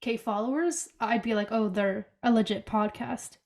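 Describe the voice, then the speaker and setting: A young woman co-hosting a relaxed podcast, her voice stuffy and congested from a cold. She sits a little higher than most women in pitch and chats in an upbeat, rambling way, full of fillers. She is talking over a video call from her bedroom. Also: normal speed. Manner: chatty, upbeat, many fillers like "like". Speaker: podcast co-host. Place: bedroom at home.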